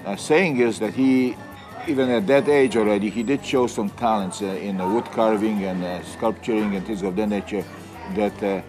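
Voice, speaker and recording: A man's voice: on the low side, fairly even intonation, normal speed. A man in his sixties from Poland, a native Polish speaker with a Polish accent, answering interview questions in hesitant phrases. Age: 60s. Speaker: innkeeper being interviewed. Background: music.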